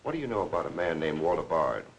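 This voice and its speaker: A man's voice: extremely low pitch, big pitch swings, fast, full character voice. A man playing a curt, businesslike police lieutenant in a 1940s crime film, with a clipped, authoritative manner. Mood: cool, probing. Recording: noisy old 1940s film soundtrack.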